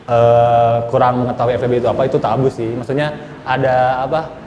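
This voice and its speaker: A man's voice: medium pitch, level tone, darkish tone, muffled recording, a little hiss or hum. Thoughtful twenties male student, echoey room. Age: 20s. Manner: thoughtful, measured. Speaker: university student. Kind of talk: casual interview answers. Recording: echoey room with long reverb.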